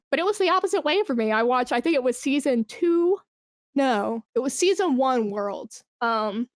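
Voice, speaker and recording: A woman speaking as a guest in a casual podcast interview, animated and self-deprecating, with slangy phrasing. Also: on the high side, dry recording, clean studio sound. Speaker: podcast guest, esports brand manager.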